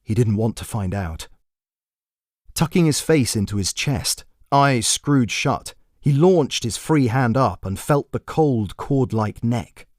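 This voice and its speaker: A man narrating a dramatic scripted fantasy story with measured, deliberate phrasing. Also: mid-range voice, animated, darkish tone, no room sound, clean studio sound. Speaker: author and narrator.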